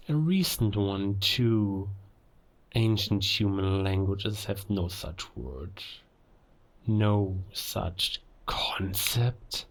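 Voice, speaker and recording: Man acting a gravelly, menacing werewolf villain. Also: fairly low pitch, full character voice. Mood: bitter contempt. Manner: deliberate, theatrical, philosophical villain monologue with dramatic pauses. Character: menacing ancient male werewolf, cold, scornful of humankind. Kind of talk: cinematic audio roleplay monologue.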